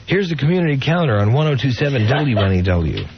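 A man's voice: medium pitch, sing-song, quick pace, slight background noise. A man who works as a radio announcer, reading ad copy. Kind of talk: radio commercial read breaking into ranting. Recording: dull lo-fi radio outtakes, music behind.

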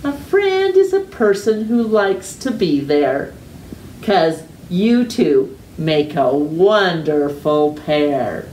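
A middle-aged woman reading a children's picture book aloud with deliberate, exaggerated storytime delivery and drawn-out words. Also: fairly low pitch, very animated, warm tone. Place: living room at home. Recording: roomy echo, distant mic, faint noise.

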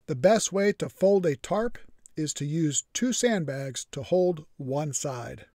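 A man reads his camping tips over the footage in a dry, matter-of-fact way, with a wry touch of humor. His voice sits a little high for a man. The recording is close and dead, with no room sound. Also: expressive, takes it slowly, mellow, no room sound. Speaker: vlogger. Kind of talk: scripted voice-over narration.

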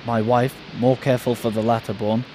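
A man reciting Shakespeare verse on stage in a measured, steady way. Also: mid-range voice, noisy background. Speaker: stage actor.

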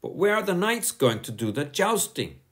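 A man's voice: sits high, sing-song, moderate pace, fairly bright tone, pitched above the performer's own voice. A man voicing a cheeky schoolboy who grumbles and asks whiny questions. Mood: grumbling, cheeky.

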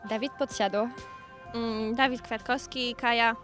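A woman's voice: fairly high pitch, steady tone, unhurried. A woman giving short, hesitant answers as a passer-by in a street interview. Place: outdoor city street. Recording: music laid under street interview.

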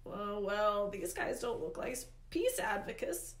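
A woman voicing a nervous, polite young boy hero in a storybook, hesitant and earnest, with lifting pitch. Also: expressive, takes it slowly. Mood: cautious. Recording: roomy, echoey indoor sound.

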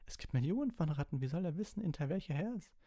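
A man's voice: a little high, expressive, quick pace, warm tone, no echo, studio quality, voice pushed up. A man voicing an incredulous, nervous teenage boy who protests, in an audiobook. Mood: sceptical.

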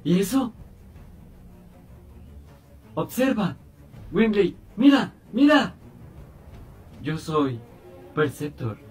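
A man voicing a curious, upbeat male robot scientist with a softened Costa Rican accent. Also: high voice, extremely slow, long pauses, slightly rough, soft background music, voice pushed up, full character voice. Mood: excited. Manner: excited, rising exclamations.

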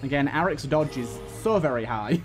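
A man's voice: medium pitch, animated, moderate pace, soft background music. A man giving casual gameplay commentary, thinking aloud and talking to the game's characters. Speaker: gaming video host.

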